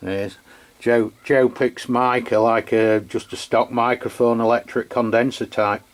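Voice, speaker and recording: Halting English man chatting about radios. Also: medium pitch, flat delivery, heavy background noise. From England. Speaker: amateur radio channel host. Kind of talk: casual unscripted radio chat and demo. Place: beside his radio set, filming the equipment.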